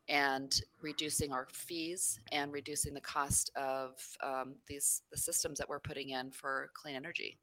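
A woman's voice: very deep voice, a bit bright, no room sound. A woman from New Mexico, a credit union CEO, answering interview questions in a rambling way with many fillers.

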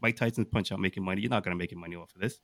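A man's voice: low voice, fairly even intonation, very fast, a bit bright, no echo. A man co-hosting a podcast in casual, joking debate, with an insistent, teasing manner. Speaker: podcast host.

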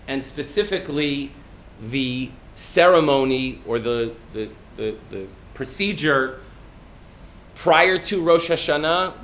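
A man with a deep voice, a rabbi giving a lecture, talking thoughtfully with restarts and fillers. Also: lo-fi sound, a little hiss or hum. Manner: thoughtful, self-deprecating, with frequent restarts and fillers. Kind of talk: classroom lecture.

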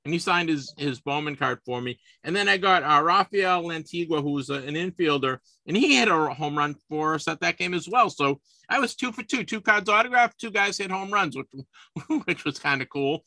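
A man in his fifties chats casually as a sports podcast host, and he often stumbles and repeats himself. His voice sits a little high and sounds bright and forceful. The recording is dry and close. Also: animated, moderate pace, no echo. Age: 50s. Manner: chatty, repeats words and restarts often.

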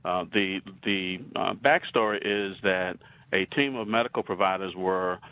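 A man from Alabama with a Southern accent, giving a slide lecture in a measured way, with many pauses and restarts. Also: low voice, narrow, tinny audio. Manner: measured, frequent uh fillers and restarts.

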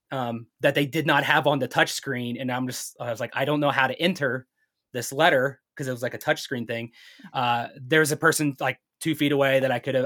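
Man, native English speaker, medium pitch, casual podcast guest, rambling anecdotes. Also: a bit bright. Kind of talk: casual chat.

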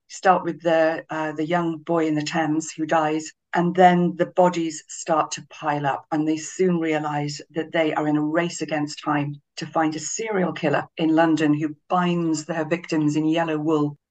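A middle-aged woman, a guest author in a podcast interview, telling her story in a lively, chatty way with frequent restarts. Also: sits low, poor audio. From Wales.

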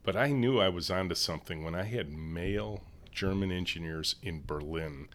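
A middle-aged man with a deep voice, a company leader telling stories in an interview and acting out dialogue. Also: normal speed. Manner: animated storyteller, acts out dialogue in higher voices. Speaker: CEO and co-founder, interview guest. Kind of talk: storytelling in a podcast interview.

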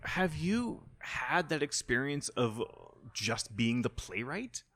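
A man interviewing someone in a relaxed, conversational style, putting questions informally. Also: medium pitch, slow pace.

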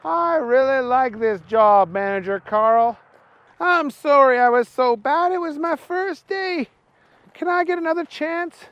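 A man voicing a clumsy, dim-witted young male fry cook who sounds whiny and bewildered. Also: very high voice, animated, a little slow, fairly bright tone, no echo, muffled recording, voice pushed up, full character voice. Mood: pleading.

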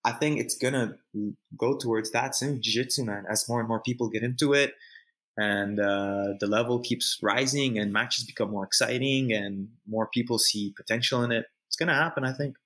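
Canadian dude just chatting in an interview, regular pitch, slangy, says stuff twice to push the point. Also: male voice, normal speed, a bit bright. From Montreal, Canada. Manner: informal, repeats himself for emphasis, peppers talk with slang. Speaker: jiu-jitsu competitor, interview guest. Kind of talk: casual, unscripted interview chat.

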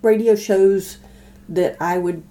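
A woman co-hosting a podcast in casual chat, thinking aloud in a tentative, halting way. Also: medium pitch, fairly even intonation, warm tone. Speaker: podcast co-host.

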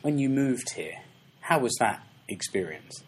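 A man hosting a casual interview podcast, talking in a relaxed way with many restarts and fillers. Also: medium pitch, a little slow, slight background noise. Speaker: podcast host.

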